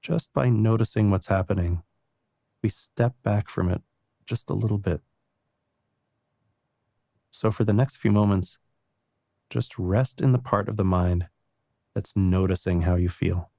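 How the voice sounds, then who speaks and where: Deep-voiced man guiding a calm meditation. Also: creaky, no room sound, clean studio sound. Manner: calm, gentle, unhurried, reassuring. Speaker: meditation guide. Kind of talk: guided meditation, soft spoken instruction.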